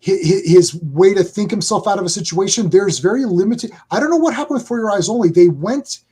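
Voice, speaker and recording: A man's voice: high-pitched, lively intonation, brisk, mellow, breathy edge. A man hosting a casual podcast chat, emphatic and opinionated about films. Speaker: host.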